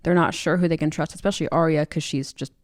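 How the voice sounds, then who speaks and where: A woman's voice: sits low, fairly even intonation, fast, no room sound, studio quality. A woman co-hosting a book club podcast, recapping and discussing a story in a casual, conversational way.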